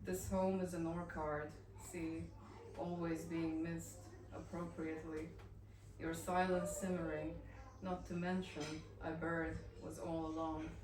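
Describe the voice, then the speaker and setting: Woman poet, lowish voice, reading at a cafe, roomy echo, mic a bit far off with noise behind. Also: flat delivery. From Bosnia. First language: Bosnian-Croatian-Montenegrin-Serbian. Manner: soft-spoken, halting between poems with fillers. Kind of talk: poetry reading with spoken introductions. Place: café reading venue. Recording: roomy echoey café, voice from far off, background noise.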